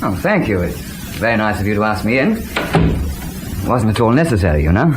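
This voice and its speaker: Airy eccentric gent, British-tinged, very animated radio serial delivery, noisy old recording. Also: male voice, on the low side, fairly quick. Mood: breezy, amused. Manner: airy, courteous, nonchalant. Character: genial, breezy, eccentric gentleman who sketches.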